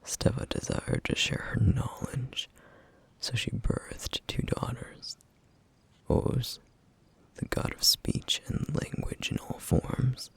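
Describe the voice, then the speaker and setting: Solemn male demon guardian, played by a man, ASMR roleplay: very husky near-whisper, unhurried, wistful, formal. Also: medium pitch, full character voice. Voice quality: breathy, whispery, rough, gravelly. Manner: slow, formal, reflective phrasing. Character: solemn, duty-bound male flame demon, gentle and wistful. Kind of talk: ASMR roleplay, intimate soft-spoken monologue.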